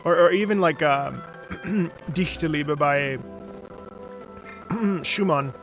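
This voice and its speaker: A man giving casual live playthrough commentary as a game streamer, halting and rambling as he talks. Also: on the high side, a bit bright, soft background music, rough recording.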